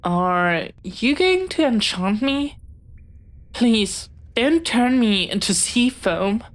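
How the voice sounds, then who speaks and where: A woman acting in a scripted audio roleplay as a bookish, dreamy young pirate, jumpy but earnest, speaking haltingly and dramatically straight to the listener. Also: a little high, expressive. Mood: wary, awed. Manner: dramatic, halting, swinging from theatrical threats to sheepish chatter.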